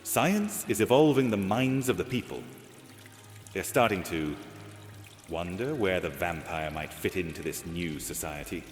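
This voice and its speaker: A man's voice: low-pitched, lively intonation, unhurried. A man voicing a suspicious, anxious vampire adviser in a fantasy audio drama, cautious, portentous and dramatic. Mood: ominous. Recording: echoey long reverb, music bed, water sounds.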